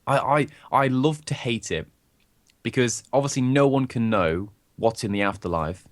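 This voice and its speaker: A croaky-voiced young man chatting openly and rambling as co-host of a casual podcast. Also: mid-range voice, normal speed. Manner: rambling, open, laughs often. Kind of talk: casual chat.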